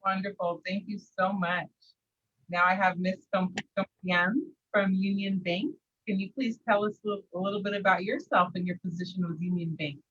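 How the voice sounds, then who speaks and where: A woman's voice: mid-range voice, fairly even intonation, normal speed, a bit bright. A woman moderating a panel with upbeat thanks and short prompts to each guest. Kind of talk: hosting a panel. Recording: dull lo-fi sound.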